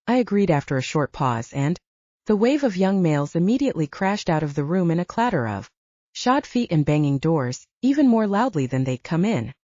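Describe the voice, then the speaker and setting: A woman's voice: lowish voice, mellow, no room sound, highs cut off. A woman reading a scripted first-person story as an audiobook narrator.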